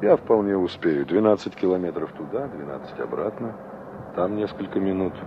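An actor playing a wistful young engineer in an old Soviet radio play, musing to himself and polite with strangers. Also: male voice, deep voice, lively intonation, moderate pace. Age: young adult. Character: young male engineer revisiting a remembered village, wistful. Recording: noisy, dull old radio play recording.

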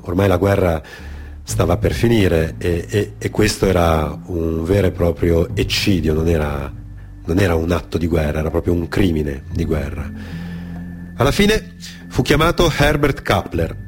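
A man narrating a dramatic monologue for radio theatre, grave and emphatic, in short deliberate phrases. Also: low-pitched. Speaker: storyteller, actor.

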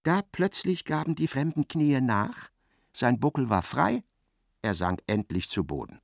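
A man narrating a literary radio drama as a wry, intense storyteller, expressive and precise, with a creaky voice. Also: mid-range voice, a little slow, dry recording, clean studio sound, full character voice. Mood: dry, dramatic.

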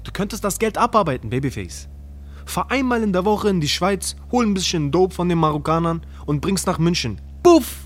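A man's voice: high-pitched, expressive, fairly quick. An actor playing a brash, teasing young male drug dealer in a radio drama, pushy and crude in streetwise slang.

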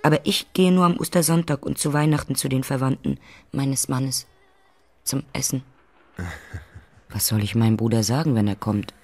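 A woman's voice: extremely low pitch, moderate pace, long pauses. An actress playing a shy, simple widowed landlady of about thirty in a radio play. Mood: shy, deferential.

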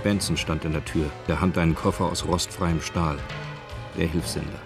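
Crime drama storyteller: deep, sober, factual narration over background music. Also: male voice. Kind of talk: scripted radio drama narration.

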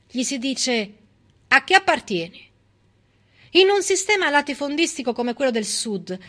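Bright, high-voiced woman in theatrical monologue. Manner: emphatic, rhetorical, ironic, with pointed pauses. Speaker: stage monologist. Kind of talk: theatrical civic monologue, persuasive storytelling.

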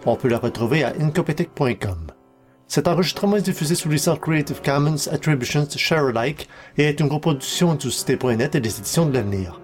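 A man's voice: on the high side, lively intonation, a little fast. A man presenting a podcast, reading out closing credits in a scripted announcer style. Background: music.

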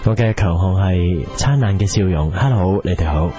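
Man voicing a suave student, low voice, affected, mock-serious, Hong Kong Cantonese, radio comedy, music behind. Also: lively intonation, moderate pace, highs cut off. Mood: suave, earnest. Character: self-consciously suave, sensual-voiced male student contestant. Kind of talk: comedy radio drama acting.

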